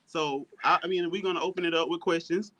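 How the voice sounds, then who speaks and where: A man's voice: on the high side, fairly even intonation, fast talker, bright, forceful tone. A man hosting a casual conversational podcast interview, affirming and restating what is said. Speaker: podcast host.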